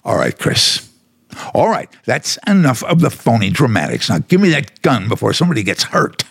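Male actor, scornful editor, radio drama, commanding, creaky edge, highly expressive intonation. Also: moderate pace, no room sound, full character voice. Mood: dismissive, challenging.

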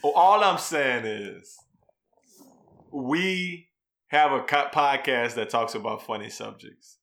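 A man's voice: medium pitch, lively intonation, slow, vocal fry, a bit bright. A man co-hosting a podcast, talking in a slangy, blunt, emphatic way as he gives casual commentary. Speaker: podcast co-host.

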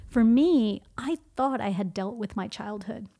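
A woman's voice: a little high, a little slow, creaky, warm tone. A woman speaking as a guest in a podcast conversation, reflective and posing questions that she then answers herself. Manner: reflective, poses and answers her own questions.

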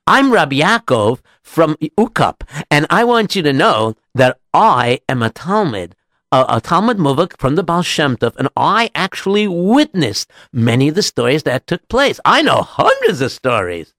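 An eager, earnest wandering rabbi, acted out by a male storyteller, pitched high and swinging widely. He stammers, gets excited easily and talks in a sing-song way, like a storybook hero. The sound is close and dry. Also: moderate pace, dry recording. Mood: protesting, proud.

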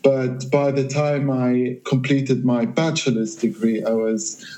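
Hesitant Lebanese-born man, measured answers. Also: medium pitch, steady tone, warm tone. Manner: hesitant, measured, many restarts. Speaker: academic guest. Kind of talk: remote interview, thoughtful answers. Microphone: remote line.

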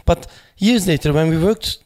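A man speaking at a memorial panel, halting and searching for words, with many fillers and restarts in English that is not his first language. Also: fairly high pitch, a little mellow. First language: not English; foreign accent in Turkish noted.

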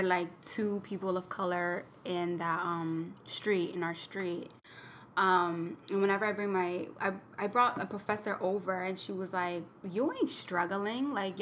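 A young woman with a somewhat low voice tells her own story on a podcast. She talks candidly and reflectively, leaning on "like" and "um". Faint room sound sits behind her. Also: a bit bright, slight background noise. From Connecticut, US. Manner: candid, reflective, many fillers and "like". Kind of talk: personal storytelling in a casual chat.

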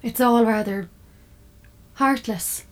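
An opinionated household fridge with a mind of its own in an audio drama. The voice is on the high side, proud and fussy, with a persuasive, nurturing streak. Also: female voice, takes it slowly. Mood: anxious, indignant.

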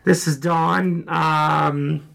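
A man hosting a casual pop culture podcast, hoarse and froggy from a sore throat, joking and rambling. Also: fairly high pitch, steady tone, drawn-out words, a bit bright. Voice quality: froggy, gravelly. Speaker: podcast host.

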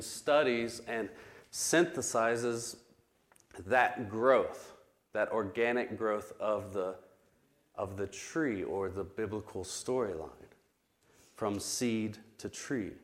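A man teaching a Bible study class, his voice still recovering from an illness, explaining terms carefully with frequent pauses and restarts. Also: lowish voice.

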